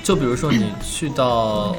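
A woman's voice: rumbling low, normal speed, music in the background. A woman chatting casually and playfully with fellow audio drama performers. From Nanchang, Jiangxi, China. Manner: playful, joking. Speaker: audio drama cast member on a chat show. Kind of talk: casual chat.

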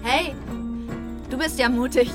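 A woman playing a young, carefree, reckless German traveller in a naturalistic radio drama, speaking playfully and a little haltingly in foreign languages. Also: high voice, music in the background. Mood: playful, giddy.

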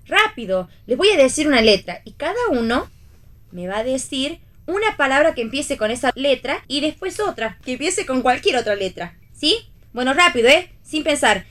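An actress playing a bossy, defiant, quick-tongued woman in radio theatre, with an Argentine accent. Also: high voice, sing-song, a bit bright. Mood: brisk, bossy.

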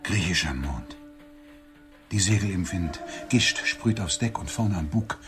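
A man's voice: deep voice, lively intonation, unhurried, music in the background. An actor playing a lonely middle-aged man on a blind date in a radio drama, formal and flowery, touchy and wistful. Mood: wistful, dreamy. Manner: formal, flowery, theatrical phrasing.

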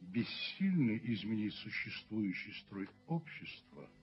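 An actor in an old radio play playing a dignified, self-righteous middle-aged landlord who speaks in measured, rhetorical phrases. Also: male voice, on the low side, big pitch swings. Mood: suave, conciliatory. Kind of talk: 1970s Soviet radio play acting. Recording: muffled old radio recording with noise.

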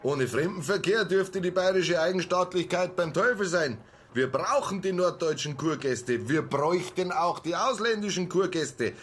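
An actor playing a stern, self-important hotelier in a radio play, lecturing formally. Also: male voice, high voice, expressive, moderate pace, a bit bright. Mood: indignant.

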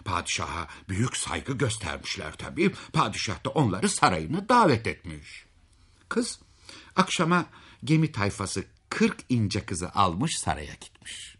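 A man's voice: on the low side, huge pitch swings, unhurried, fairly bright tone, breathy edge. A man telling a fairy tale expressively, in a dramatic, folksy way with interjections to the listener. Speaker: storyteller.

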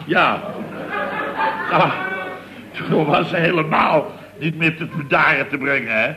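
An actor playing a flustered, indignant middle-aged building boss, telling things in a long-winded, exasperated way in a comic radio serial. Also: male voice, a little high, unhurried. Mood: exasperated storytelling. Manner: long-winded, exasperated storytelling peppered with verbal tags. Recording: old radio recording with faint noise.

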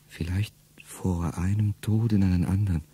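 Middle-aged male narrator for a radio play, very dark, husky voice, low and intimate, often whispering. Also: steady tone, normal speed. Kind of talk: first-person literary monologue in a radio play.

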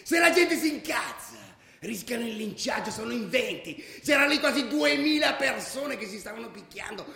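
A fired-up football hooligan telling war stories for a radio play. The voice is very high and sharp with a gravelly edge. It is forceful and ready to shout, ringing in a very echoey room. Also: male voice, animated, moderate pace, few pauses, heavily acted. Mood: agitated. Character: fired-up male football ultra recounting a brawl. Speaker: actor in a radio drama. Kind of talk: dramatic radio storytelling.

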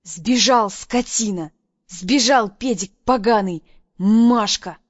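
A brash, impulsive young woman with a quick, sharp tongue, as a male narrator puts her on. Her voice sits high above his usual register. The audiobook recording is dry and a little dull. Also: no echo. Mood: furious. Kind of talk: audiobook reading.

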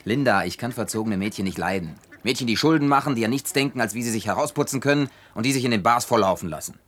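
A man's voice: medium pitch, animated, fast talker, fairly bright tone, a little hiss or hum, lightly acted. An actor playing a stern, stubborn and disapproving man in a German radio drama, blunt and reproachful in delivery. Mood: grumpy, reproachful.

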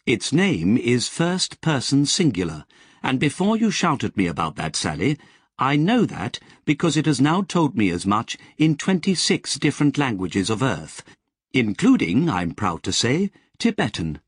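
A man voicing a precise, curious artificial intelligence, speaking crisply and formally. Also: medium pitch, huge pitch swings, a little slow, no room sound, studio quality, pitched above the performer's own voice, full character voice. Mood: eager.

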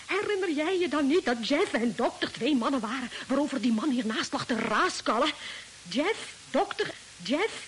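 An actress playing an anxious, pleading farm wife, fearful and insistent, in old-fashioned radio drama style. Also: female voice, high-pitched, expressive, normal speed, slight background noise. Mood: nervous, insistent. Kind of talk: 1950s radio drama acting.

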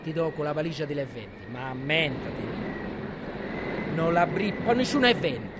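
An actor playing Aeolus, the god of the winds, speaking with a Gallurese Sardinian accent in a solemn, lilting way. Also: male voice, a little high, expressive, normal speed, very noisy, close to an everyday voice. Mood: warning. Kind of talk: audiobook drama acting.

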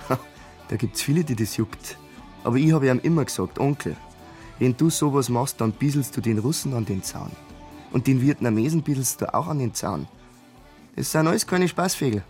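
An actor playing an evasive small-town early pensioner in a radio drama, laconic and defensive, with a Bavarian accent. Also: male voice, mid-range voice, darkish tone, close to an everyday voice. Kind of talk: naturalistic radio drama dialogue.

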